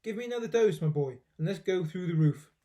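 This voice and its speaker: A man voicing an ancient, bossy, exuberant grandmother in a storybook, yelling in a screechy voice. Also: high voice, animated, fast talker. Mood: triumphant. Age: older. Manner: yelling, exclamatory.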